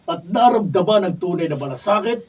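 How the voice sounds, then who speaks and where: A stern wealthy father who is ill, speaking in a measured, reproachful way, as a man acts him out. His voice is a little high and husky. It sounds dull and lo-fi, picked up from back off the mic. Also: expressive. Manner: measured, reproachful, restarts lines. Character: ailing wealthy father, stern company owner. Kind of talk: radio drama acting, read from script. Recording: lo-fi, mic from a distance.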